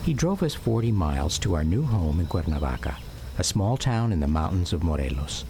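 An actor playing a grown son recalling his childhood, narrating a radio drama in a measured, reflective way. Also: sits low, sing-song. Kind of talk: scripted first-person radio drama narration. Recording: noisy outdoor ambience with insects behind.

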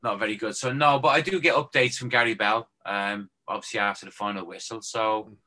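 A man's voice: on the low side, steady tone, brisk, bright, forceful tone. An Irish man, a former footballer, chatting casually and joking as a guest on a phone-in show. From Ireland. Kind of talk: casual chat.

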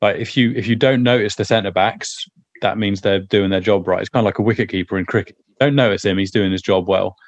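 Hoarse, croaky man chatting about football. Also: lowish voice, fairly even intonation, brisk, dry recording, lo-fi sound. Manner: rambling, restarts sentences. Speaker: podcast co-host. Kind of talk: casual football chat.

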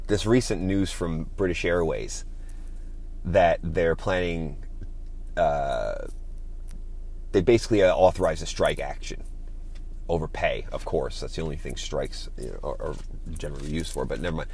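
A man's voice: very deep voice, moderate pace, long pauses, a little mellow. A man hosting a podcast, giving an opinionated, informal monologue with casual swearing. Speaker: podcast host.

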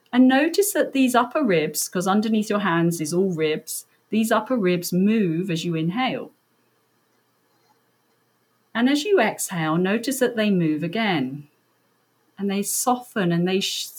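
A woman with a fairly low voice guides listeners through a breathing meditation. She speaks gently and reassuringly, in an unhurried way, with soft pauses between instructions. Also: husky.

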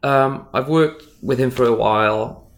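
A man speaking casually as an interviewed stage magician, emphatic and animated. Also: mid-range voice, a little slow. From Wales. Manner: animated, emphatic, acts out imagined dialogue.

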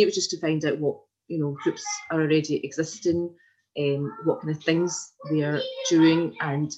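A woman's voice: sits low, darkish tone. A woman in her forties with a Glaswegian Scottish accent answers informally in an interview over a video call, in a hesitant, meandering way with frequent repetitions. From Glasgow, Scotland. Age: 40s. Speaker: interviewee, community development worker. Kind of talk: informal oral history interview. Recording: muffled lo-fi video call audio.

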